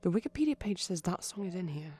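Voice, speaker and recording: A man speaking softly in a chatty, rambling ASMR style, often dropping to a whisper, digressive and tentative. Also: high-pitched, very animated, fast, breathy edge. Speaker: ASMR performer. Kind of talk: soft-spoken rambling ASMR commentary on a film. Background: TV film audio playing in background.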